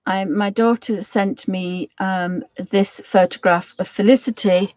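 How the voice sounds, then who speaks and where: A middle-aged British woman with a slightly croaky voice, giving a casual craft tutorial in a rambling, homely way, thinking aloud as she goes. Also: medium pitch, steady tone, measured pace, a little mellow, no room sound. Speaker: watercolour craft tutor hosting a live session.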